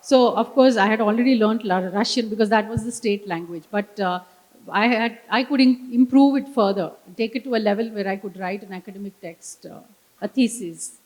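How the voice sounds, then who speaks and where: A woman's voice: mid-range voice, normal speed, a little mellow, low-quality recording. A woman interpreting live on stage, relaying speech in careful segments.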